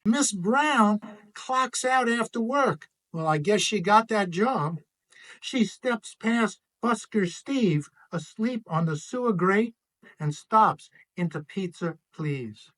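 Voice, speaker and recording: A man's voice: sits high, expressive, slow pace, a bit bright, low-quality recording. A man reading a children's picture book aloud expressively as its author.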